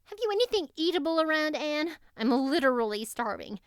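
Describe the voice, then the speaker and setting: Woman voicing a spiteful, high-pitched chatty schoolgirl. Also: big pitch swings, fairly quick, dry recording, clean studio sound. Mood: aggravating pity, boastful. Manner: gushing, slangy, falsely pitying.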